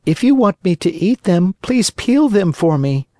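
High, whiny wooden puppet boy. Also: sing-song, measured pace, a little mellow, no echo, studio quality. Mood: petulant. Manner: breathless, childish, complaining.

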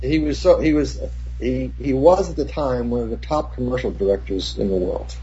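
An older man, a cinematographer, telling rambling, profane set stories with many restarts, in a husky voice. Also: mid-range voice, moderate pace, warm tone. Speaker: cinematographer guest. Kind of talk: casual anecdote. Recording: dull lo-fi recording.

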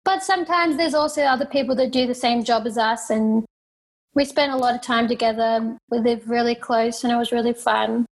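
Young Australian woman, fairly high voice, plain unpolished delivery, interview guest. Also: steady tone, fairly quick. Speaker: guest, professional fighter. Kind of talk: interview answers.

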